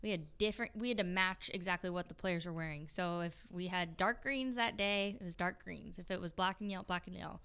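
A woman co-hosting a talk show, chatty and quick to chime in. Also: fairly low pitch, a bit bright, clear, resonant tone. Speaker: co-host. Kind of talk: casual chat.

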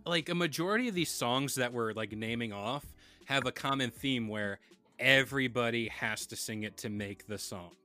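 A man's voice: mid-range voice, moderate pace, bright, forceful tone, faint music behind. A man hosting a casual podcast, chatting in a laid-back, rambling way and reading sponsor plugs. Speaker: podcast host.

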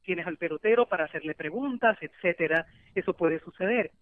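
A woman's voice: fairly low pitch, brisk. Woman on a tinny phone line. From Puerto Rico. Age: 40s. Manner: animated, laughs easily. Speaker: sports journalist guest. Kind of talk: podcast interview answers.

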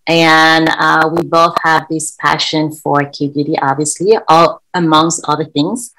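A woman's voice: sits low, flat delivery, measured pace, fairly bright tone, rough recording. A woman from Senegal, a guest in a casual interview, telling stories in a chatty, eager way. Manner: chatty, enthusiastic storytelling. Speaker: guest, event co-founder. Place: lives in Korea.

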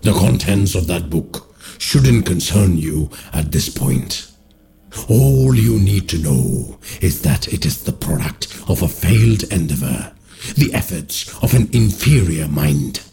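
A man's voice: low voice, very animated, takes it slowly, creaky, dark tone, slightly rough, voice pushed down, full character voice. A man voicing an ancient, evil cosmic being that rules a realm of terror, speaking in a cold, commanding way.

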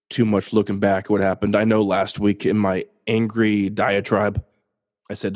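A man's voice: on the low side, darkish tone. Congested young man hosting a sports podcast. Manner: casual, jokey, restarts and self-deprecating asides. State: congested, sniffly. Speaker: podcast host. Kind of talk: solo sports podcast commentary.

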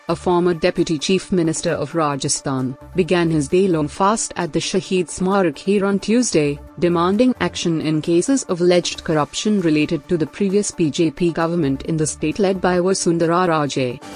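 A woman's voice: fairly low pitch, a little mellow. Indian-accented woman reading the news. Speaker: news reader. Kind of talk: scripted news bulletin narration.

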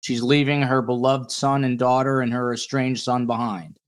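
A man's voice: medium pitch, steady tone, moderate pace, clear, resonant tone. A man hosting a casual true-crime panel podcast, talking in a blunt, teasing, jokey way. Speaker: podcast host.

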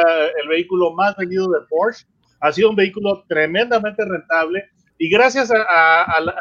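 A man from Monterrey, Mexico, a car reviewer guest explaining at a measured pace with fillers over a video call. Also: high-pitched, a bit bright, highs cut off.

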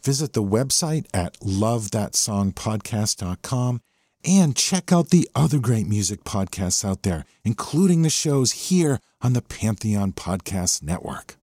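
A man hosting a music podcast, talking in a conversational, measured and explanatory way about a song, close on the microphone. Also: fairly low pitch, big pitch swings, a little mellow, no echo. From USA.

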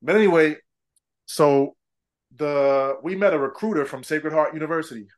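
A man's voice: a little high, moderate pace. A middle-aged man from Trinidad, a former pro basketball player, chatting casually as an interview guest, laughing often and saying "man" and "bro".

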